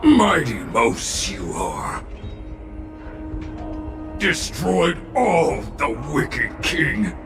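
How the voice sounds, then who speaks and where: Bitter fallen game warrior: gravelly, drawn-out, echoing, halting, over loud music. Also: male voice, a little high, huge pitch swings, heavily acted. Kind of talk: video game voice acting.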